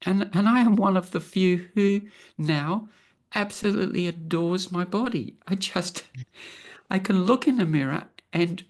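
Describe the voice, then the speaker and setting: A woman in her sixties with a croaky voice from travel, talking earnestly as a guest on a video call and restarting her sentences often. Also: lowish voice, a little slow, a little mellow. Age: 60s. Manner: earnest, thinking aloud with many restarts. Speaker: interview guest. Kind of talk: reflective personal conversation.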